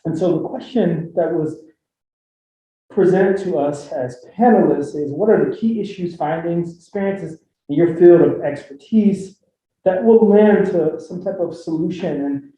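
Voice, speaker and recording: A man's voice: on the high side, a little slow, mellow. A man from Philadelphia with a Philly accent, giving a joking, filler-heavy talk on a university panel with a handheld mic. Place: university forum room. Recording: echoey lecture room, dull sound.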